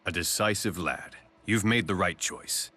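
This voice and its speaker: A calculating video game executive, very low in pitch with a forceful, bright edge. He speaks in a polished, condescending way, suave and controlled. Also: unhurried, a little hiss or hum. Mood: satisfied. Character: suave, calculating corporate chief, adult man. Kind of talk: English video game voice acting.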